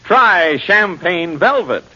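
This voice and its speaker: A man's voice: high-pitched, sing-song, takes it slowly, bright, forceful tone. A man delivering advertising slogans as a 1940s radio announcer. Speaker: commercial announcer. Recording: dull old radio recording.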